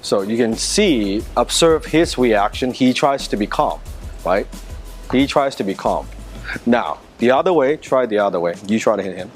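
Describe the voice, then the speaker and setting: A man's voice: medium pitch, expressive, normal speed, soft background music. A man teaching a martial arts lesson, telling a personal story and coaching a drill in an earnest, conversational way with frequent restarts. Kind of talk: teaching a self-defense lesson.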